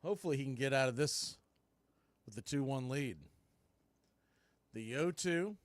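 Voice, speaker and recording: A man calling live baseball play-by-play in punchy short phrases, getting excited on big plays. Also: mid-range voice, normal speed, no echo.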